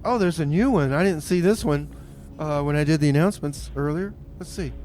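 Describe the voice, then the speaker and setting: A man's voice: on the high side, a little mellow, a little hiss or hum. A man hosting community radio, laid-back and easygoing, drawling his words and calling people "man.". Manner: easygoing, drawn-out, says "man" a lot.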